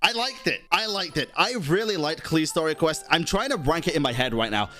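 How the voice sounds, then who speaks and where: A man's voice: a little high, expressive, fairly quick, sharp tone. A man streaming casual commentary to his chat, talking emphatically, repeating himself and swearing freely. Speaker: streamer and YouTube host.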